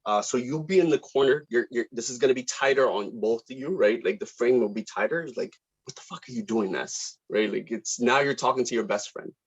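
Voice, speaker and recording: A man with a raspy, mid-pitched voice talks as a director, giving actors informal notes. He thinks aloud in a casual way, and the sound is slightly dull. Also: brisk.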